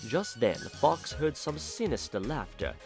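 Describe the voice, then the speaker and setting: A man's voice: medium pitch, big pitch swings, measured pace, over loud music. A man narrating a fan audio drama in a theatrical, playful style with wry asides.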